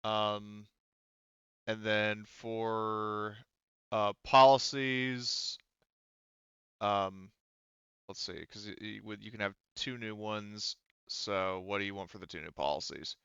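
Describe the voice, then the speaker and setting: A man with a low, clean voice, recorded dry and slightly muffled. He works as a game master, talking casually and hesitantly as he thinks through the rules aloud. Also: fairly even intonation, slow pace, fairly bright tone, smooth, no echo. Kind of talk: running a tabletop roleplaying game, casual.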